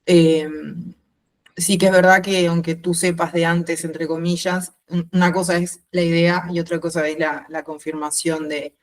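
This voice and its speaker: A woman in her thirties with a mixed Uruguayan and Spanish accent, speaking reflectively and haltingly as a guest in an informal interview. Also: lowish voice, little pitch movement. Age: 30s. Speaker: podcast guest. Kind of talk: informal interview, personal account.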